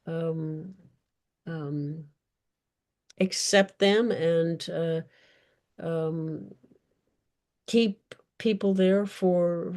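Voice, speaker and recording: A woman's voice: fairly low pitch, steady tone, drawn-out words, pauses often, a little mellow. A woman author answering audience questions in an unscripted discussion, speaking carefully and often searching for her words. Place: virtual event.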